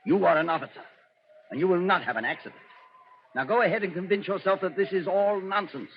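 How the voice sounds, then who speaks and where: An arrogant Nazi colonel, muffled old radio. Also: male voice, sits high, fast talker. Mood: stern. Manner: pompous, condescending, formal. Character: cold, arrogant Nazi colonel, ruthless and domineering. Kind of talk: 1940s radio acting. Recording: muffled, noisy vintage radio broadcast.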